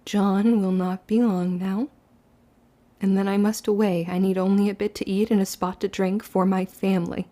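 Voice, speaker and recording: A woman's voice: medium pitch, level tone, moderate pace, warm tone, lightly acted. A woman playing a guarded, wounded young witch girl who tells her story in formal period speech in an audio drama. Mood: defensive, afraid. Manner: archaic, formal period diction. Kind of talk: confessional first-person audio drama narration and dialogue.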